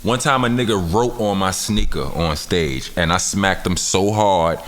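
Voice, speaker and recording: A man's voice: a little slow, fairly bright tone, long reverb tail, a little hiss or hum. A man, a rapper telling stories in a casual studio interview. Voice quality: deep voice. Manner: laid-back, storytelling, repeats "you understand what I'm saying". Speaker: rapper, guest. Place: recording studio.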